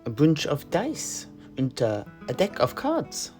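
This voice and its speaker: A man voicing a naive, curious mercenary knight in a fantasy tale, halting and hesitant in his speech. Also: a little high, huge pitch swings, a little mellow, voice pushed up, full character voice. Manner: hesitant, halting, full of surprised questions. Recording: music behind the voice.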